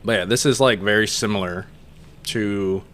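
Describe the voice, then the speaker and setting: A man's voice: on the low side, normal speed, fairly bright tone, slight background noise. A man hosting a podcast in casual, informal conversation. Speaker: podcast host. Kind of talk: casual chat.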